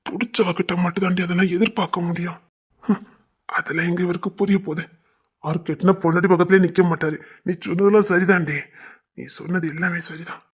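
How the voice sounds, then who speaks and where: A man acting a devoted young lover, husky and pleading, in a romantic drama. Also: high voice, steady tone, fairly quick. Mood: tender. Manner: tender, pleading, emotional. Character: devoted, tearful young village man in love.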